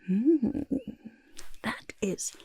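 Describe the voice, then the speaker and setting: A woman voicing a boastful, eager goblin in a soft-spoken ASMR roleplay, with a raspy half-whisper and fussy, repetitive phrasing. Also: on the high side, expressive, extremely slow, no echo, heavily acted. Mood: delighted, boastful. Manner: speaks of self in third person, fussy, emphatic repetition. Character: boastful, eager goblin potion-peddler of playful temper.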